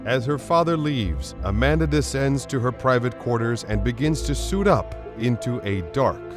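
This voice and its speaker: A man's voice: mid-range voice, expressive, normal speed. Male radio drama narrator over music.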